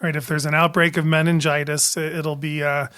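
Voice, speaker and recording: A man's voice: fairly high pitch, level tone, brisk, a bit bright, smooth. A man, a doctor co-hosting a podcast, explaining a disease in a casual, hesitant way with many fillers.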